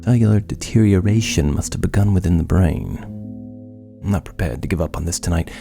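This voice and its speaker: An actor playing an intense, impatient, obsessive young medical scientist in a horror audio drama, with formal, arrogant diction. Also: male voice, low-pitched, a little fast, gravelly, over music. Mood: frantic, determined.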